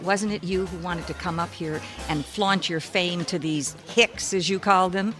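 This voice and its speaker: An actress playing a weary, efficient minder of a difficult celebrity in a radio mystery, brisk and dry. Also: female voice, on the low side, steady tone, over music, lightly acted. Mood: exasperated. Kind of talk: radio mystery drama acting.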